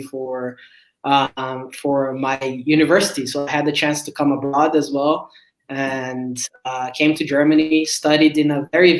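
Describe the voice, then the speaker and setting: Brazilian male guest, relaxed storyteller, echoey room sound. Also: fairly high pitch. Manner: relaxed, filler-heavy storytelling. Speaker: guest recruiter. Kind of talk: interview answers.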